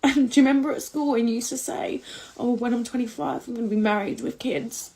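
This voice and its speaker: A woman's voice: fairly high pitch, brisk, a little mellow, poor audio. A woman crying while talking in a rambling way in a casual confessional video from home, swearing often. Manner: tearful, swears a lot, rambling. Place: indoors at home.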